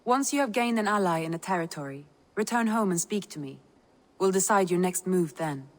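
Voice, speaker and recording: A woman's voice: fairly low pitch, animated, a little fast, big-room sound, lightly acted. A woman acting as a composed Viking advisor in a game, briefing in a formal, explanatory manner. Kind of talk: dramatic game dialogue.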